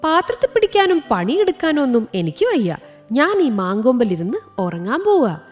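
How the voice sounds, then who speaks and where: A woman's voice: sits high, very animated, normal speed, soft background music. A woman voicing a lazy, greedy female housefly in a children's cartoon story, whining and stubborn. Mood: lazy, dismissive. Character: lazy, greedy female housefly who shirks all work.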